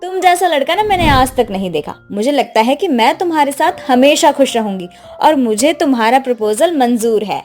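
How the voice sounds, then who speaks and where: A woman acts a spoiled young city wife in a cartoon moral story. Her voice is high and insistent, with haughty phrasing over faint music. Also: animated, normal speed, few pauses, a little mellow. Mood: coy.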